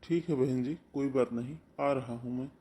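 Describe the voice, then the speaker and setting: A man's voice: medium pitch, steady tone, normal speed, warm tone, slight background noise, lightly acted. A man voicing a poor, humble father of the bride in a cartoon, polite and pleading. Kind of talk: Hindi cartoon voice acting.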